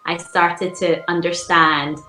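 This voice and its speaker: A woman's voice: on the low side, level tone, bright, forceful tone, faint music behind. Reflective woman telling stories, roomy sound. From England. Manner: measured, reflective storytelling with fillers. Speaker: guest. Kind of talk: casual chat.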